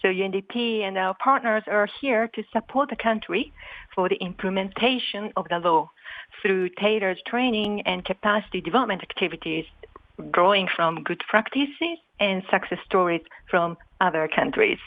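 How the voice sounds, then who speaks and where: A woman's voice: mid-range voice, normal speed, no room sound. A woman giving formal, prepared interview answers as an official guest over a phone call. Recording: dull, muffled phone-call line.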